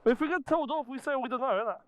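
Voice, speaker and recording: A man's voice: very high voice, fast, fairly bright tone. A native Polish man chatting casually and swearing freely with his riding mates over a helmet mic outdoors. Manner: sweary, informal banter. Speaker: motorcycle vlogger. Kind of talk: casual chat with riding companions. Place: outdoors on a motorcycle ride. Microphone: helmet-mounted microphone. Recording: noisy helmet intercom outdoors.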